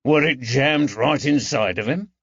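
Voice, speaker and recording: A man's voice: medium pitch, a little slow, a bit bright, husky, no room sound, highs cut off, pitched above the performer's own voice. A man voicing a burly, blunt and touchy constable in an audiobook, indignant and blustering. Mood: curious. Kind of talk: audiobook character dialogue.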